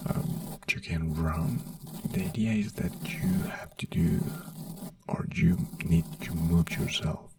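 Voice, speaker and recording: A man in an ASMR doctor roleplay, gently whispering health advice in halting, non-native English. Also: low voice, vocal fry, far from the mic. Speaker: ASMR creator playing a doctor.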